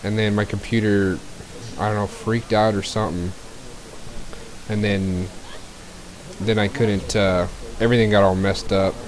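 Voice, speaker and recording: A low-pitched man chatting through a video game stream from his home setup. He sounds a little scratchy as he gets over a cold, rambling easily from item to item with fillers and the occasional throat clear. A cheap desk mic carries his voice, with a faint hiss behind it. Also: level tone, a little slow, long pauses, crowd noise. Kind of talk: casual gameplay commentary.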